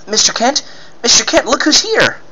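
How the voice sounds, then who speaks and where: A man's voice: extremely high pitch, very animated, normal speed, bright, forceful tone, pitched above the performer's own voice, exaggerated voice, rough recording. A man voicing an earnest, pleading boy cub reporter in the manner of a 1940s radio serial. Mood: anxious hope. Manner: gushing, pleading exclamations.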